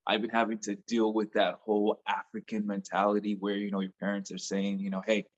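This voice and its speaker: A man's voice: fairly low pitch, completely flat delivery, a little fast, muffled recording. A man with a Nigerian accent, a music artist guest talking casually and enthusiastically in an interview, often repeating words as he goes.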